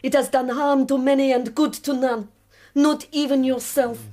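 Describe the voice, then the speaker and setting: An actress playing a proud, weary middle-aged revolutionary woman in a radio drama, speaking slowly and deliberately. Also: high voice, steady tone, dark tone, close to an everyday voice. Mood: bitter, grave. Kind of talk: BBC radio drama acting.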